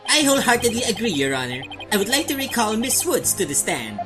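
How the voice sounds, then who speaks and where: A self-assured male prosecutor, voiced by a woman for a game fan dub. He sounds sly, formal and oily, with music clearly playing behind. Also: on the high side, sing-song, a little fast.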